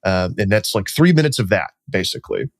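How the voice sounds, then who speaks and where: A man in a casual podcast chat, speaking as a reporter guest with a somewhat low voice. His delivery is loose and chatty, padded with "like" and "um." The sound is dry and close to the mic. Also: expressive, a little fast, dry recording. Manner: loose and chatty, full of "like" and "um" fillers.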